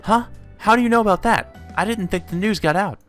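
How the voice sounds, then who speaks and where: An excitable young woman teacher, as a male reader puts her on, speaks bluntly and informally in a visual novel. The voice is raised and bright, with light game music behind. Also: sits high, brisk, voice pushed up. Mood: surprised.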